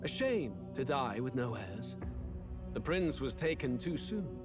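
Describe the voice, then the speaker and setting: An actor playing a cold, haughty nobleman with menace in a fantasy video game, speaking in a measured, ominous way. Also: medium pitch, big pitch swings, over loud music. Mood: cold disdain. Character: cold, menacing noble usurper, an adult man. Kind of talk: dramatic video game acting.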